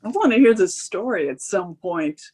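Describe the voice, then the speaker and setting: A woman's voice: medium pitch, lively intonation, normal speed, dark tone, husky. A woman acting as a dry, sardonic tech office worker in a screenplay table read. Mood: curious. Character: wry, sardonic female tech office worker helping a friend.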